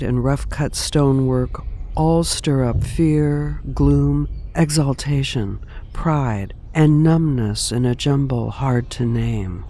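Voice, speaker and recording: A man reciting a reflective literary piece in a measured, deliberate way. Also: mid-range voice, warm tone.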